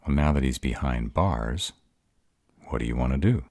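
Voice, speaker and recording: A man voicing a gruff, bashful were-dragon in human form, hesitant, earnest and tender in an intimate romantic audio roleplay. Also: very deep voice, lively intonation, normal speed, darkish tone, no room sound, clean studio sound. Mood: earnest, caring.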